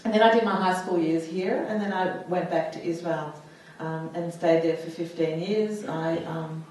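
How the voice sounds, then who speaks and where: A woman's voice: lowish voice, steady tone, moderate pace, a little mellow. Woman speaking hesitantly in an echoey hall. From United States. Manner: hesitant, many fillers and restarts. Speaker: panel speaker. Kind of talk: personal testimony at a public talk. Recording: echoey hall, mic far off.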